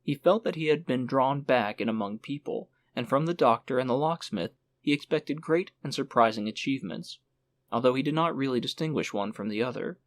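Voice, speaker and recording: A man narrating a literary audiobook in a measured storytelling manner. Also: mid-range voice, no echo.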